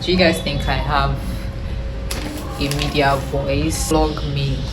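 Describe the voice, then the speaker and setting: A young woman with a Nigerian accent talks in a low, slightly husky voice, rambling casually and dropping Pidgin words as she does her makeup. Background music plays clearly beneath her voice throughout. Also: poor audio. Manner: rambling, chatty, mixes in Pidgin slang. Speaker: beauty vlogger. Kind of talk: casual get-ready-with-me chat. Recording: music playing underneath.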